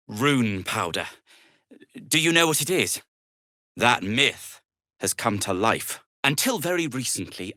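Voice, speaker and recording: An actor playing an earnest, self-deprecating gnome adventurer in a video game, hesitant and wry, with formal, wordy phrasing. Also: male voice, medium pitch, sharp tone, no room sound, clean studio sound, heavily acted. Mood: grave. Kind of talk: video game character dialogue.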